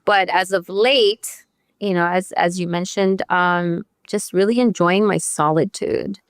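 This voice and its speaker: A woman with a smooth, slightly low voice, recorded dry. She is a guest from El Salvador, raised partly in Virginia, sharing personal history candidly. Her phrasing is conversational and dotted with little check-ins. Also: unhurried, no echo. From born in El Salvador, raised partly in Northern Virginia. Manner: candid, conversational, peppered with "you know" and "right?". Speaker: podcast guest, youth advocate.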